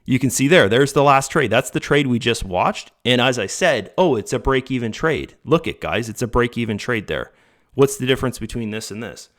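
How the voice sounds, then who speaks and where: A man hosting his own channel, talking casually over his screen with a slightly hoarse voice, often correcting himself and calling viewers guys. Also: mid-range voice, animated, moderate pace, a bit bright. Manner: rambling, self-correcting, addresses viewers as guys. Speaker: channel host reviewing a trading bot. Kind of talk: casual screen-share commentary.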